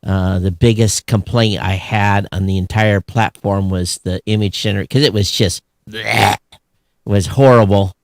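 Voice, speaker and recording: A man's voice: fairly low pitch, steady tone, a little slow, vocal fry, no room sound, studio quality. An American man chatting casually and unscripted as a podcast co-host, in a rambling, conversational way. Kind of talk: casual unscripted show chat.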